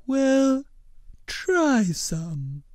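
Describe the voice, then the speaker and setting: Storybook role: a woman putting on a mild, sensible blob creature, slow and gentle, dry close sound. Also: sits high, sing-song, dry recording, voice pushed up, heavily acted. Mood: mild concerned.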